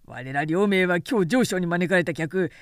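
A woman voicing a rough, impatient, hot-tempered male warrior in an audiobook, brusque and bellowing. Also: on the low side, normal speed, dry recording, clean studio sound, lightly acted. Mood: impatient, threatening.

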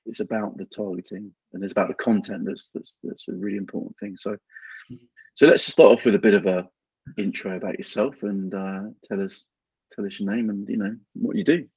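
A man's voice: lowish voice, steady tone, darkish tone, slightly rough, dry recording. A man hosting an interview podcast over a remote call, chatting casually and often restarting his sentences. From England. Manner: halting, many restarts and fillers. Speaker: podcast host. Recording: dull lo-fi remote call audio.

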